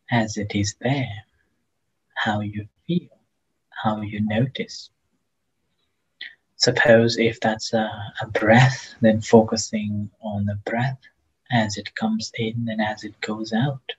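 A man leading a guided meditation as a teacher, speaking gently and haltingly with long pauses between phrases, in a husky, breathy voice. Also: on the low side, steady tone, highs cut off.

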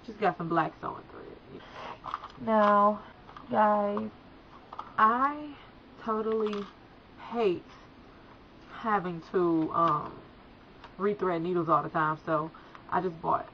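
A woman's voice: medium pitch, slight background noise. A young woman with a slight cold chatting casually to viewers through a hair tutorial in a small room. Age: young adult. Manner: chatty, addresses viewers as guys. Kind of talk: casual how-to talk. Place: small indoor room by a folding screen. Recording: dull lo-fi sound with music behind.

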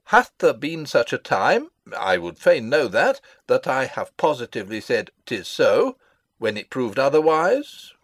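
Pompous old royal advisor, shakespeare style, slow n rambling, super swoopy pitch. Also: male voice, big pitch swings, fairly bright tone, dry recording. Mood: self-important, earnest. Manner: rambling, self-satisfied, theatrical. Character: pompous, long-winded old male royal counsellor and father. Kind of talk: classical Shakespearean stage acting, dramatic reading.

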